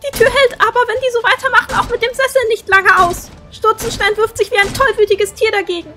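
A woman voicing an excitable, anxious teenage girl adventurer in a fantasy audio drama. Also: very high-pitched, brisk, faint music behind. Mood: alarmed. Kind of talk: fan-made fantasy audio drama acting.

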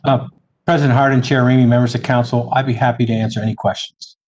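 A man's voice: mid-range voice, quick pace. A middle-aged man, a city development director, giving formal remarks at a council meeting from his office over a video call. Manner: steady, formal statement with light fillers. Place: office, indoors. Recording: slightly dull video-call audio with faint noise.

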